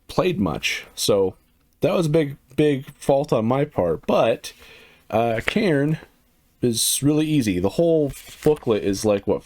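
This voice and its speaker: A man's voice: medium pitch, a little mellow. A middle-aged man casually walking through a tabletop game, rambling with lots of fillers and chuckling to himself. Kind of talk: casual first-impressions walkthrough.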